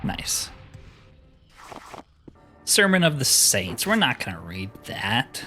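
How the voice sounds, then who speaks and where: A man's voice: mid-range voice, very animated, slow pace, pushed and bright, soft background music. Man casually commentating over a video game. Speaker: host of a video game roleplay series.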